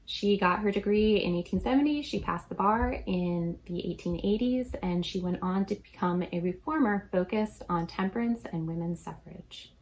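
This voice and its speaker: A woman's voice: mid-range voice, moderate pace, hardly any pauses, a little mellow, slight background noise, poor audio. A woman author sharing a fact and inviting listeners to find her books, in a friendly manner. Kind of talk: casual video message.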